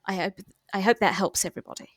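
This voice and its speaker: A woman's voice: medium pitch, steady tone, fast, breathy edge, no room sound, studio quality, lightly acted. A woman playing an anxious, polite, hesitant hospital worker with strange powers in an improvised comedy game. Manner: hesitant, tentative. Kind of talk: improvised comic roleplay.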